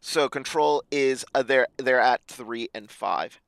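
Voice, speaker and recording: Male esports caster, mildly hoarse, otherwise smooth voice, dry sound, stop-start delivery with uhs. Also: mid-range voice, a bit bright, dry recording. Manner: halting, many fillers. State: slightly raspy voice. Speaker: esports commentator. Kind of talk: esports match preview commentary.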